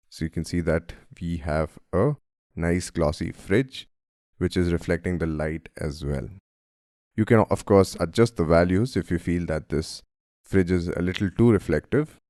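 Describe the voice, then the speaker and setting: A man from India with a cold, narrating a friendly software tutorial and calling his viewers guys. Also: low-pitched, no echo, studio quality. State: has a cold, voice deeper than usual. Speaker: software instructor. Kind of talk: screen-recorded tutorial narration.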